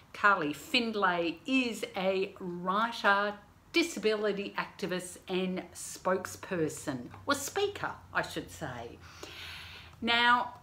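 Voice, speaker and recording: A woman's voice: lowish voice, slight background noise. Chatty woman reviewing books. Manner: chatty and animated, with frequent asides and restarts. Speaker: book reviewer and channel host. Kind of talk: casual book review vlog. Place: home living room, indoors. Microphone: camera on desk, framed head and shoulders.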